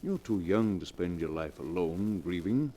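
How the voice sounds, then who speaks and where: A man's voice: sits low, lively intonation, a little slow, mellow. An actor playing a sensible, concerned male best friend in a 1940s radio drama, earnest and persuasive. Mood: earnest, reassuring. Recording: noisy old 1940s radio recording.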